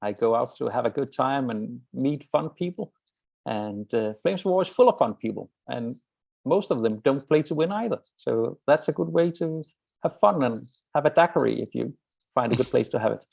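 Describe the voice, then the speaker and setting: A man from Iceland chatting in an easygoing, relaxed way. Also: mid-range voice, normal speed, gravelly, no echo. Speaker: podcast co-host. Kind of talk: casual chat.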